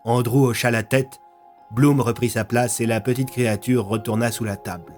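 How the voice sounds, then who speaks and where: A man reads scripted narration for an audio story. His voice is medium in pitch, and faint music runs underneath. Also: steady tone, normal speed, darkish tone.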